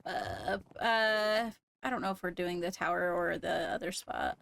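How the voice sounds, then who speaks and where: A woman's voice: fairly low pitch, very animated, moderate pace, gravelly, fairly bright tone. A woman chatting casually during a gaming roleplay session. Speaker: Minecraft roleplay player.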